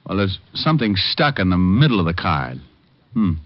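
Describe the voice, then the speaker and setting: An actor playing a wisecracking private detective who also narrates, in a 1950s radio drama. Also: male voice, low-pitched, very animated, moderate pace, no room sound. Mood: joking. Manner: dry wisecracks, laconic. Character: wisecracking tough private detective, adult man. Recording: dull old radio recording.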